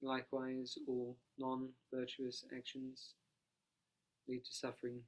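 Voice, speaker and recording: Soft-spoken monk guiding a meditation. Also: male voice, medium pitch, completely flat delivery, poor audio. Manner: soft-spoken, quiet, unhurried. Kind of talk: guided analytical meditation. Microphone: no dedicated microphone.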